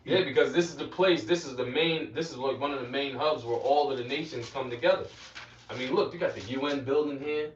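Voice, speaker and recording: A man's voice: medium pitch, a little fast, slight background noise. A man teaching scripture interactively as a preacher, emphatic, repeating phrases and prompting those around him. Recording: dull, echoey, distant from the mic.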